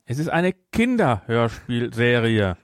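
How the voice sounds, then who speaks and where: A man with a German accent chatting casually about a film as a podcast host, with an animated, teasing manner. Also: medium pitch, takes it slowly, no echo, studio quality. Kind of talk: casual chat, film discussion.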